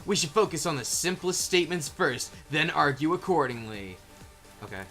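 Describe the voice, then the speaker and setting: A man's voice: a little high, animated, moderate pace, bright, forceful tone, faint music behind. A man casually hosting a video game playthrough, reading out lines as he plays. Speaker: gameplay host.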